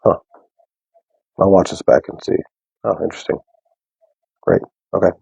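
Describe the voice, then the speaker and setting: A young man with a deep bass voice, talking softly and casually to viewers on a live stream. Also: fairly even intonation, normal speed, vocal fry, husky, no room sound, highs cut off. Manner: soft, unprojected speech. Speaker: livestream host. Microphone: wearing a mic.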